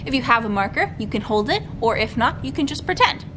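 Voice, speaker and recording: A young woman hosting a storytime, reading aloud to children in an expressive, sing-song way. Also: fast talker. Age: young adult. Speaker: children's librarian, storytime host. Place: library room with bookshelves. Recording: clearly noisy room recording, low rumble.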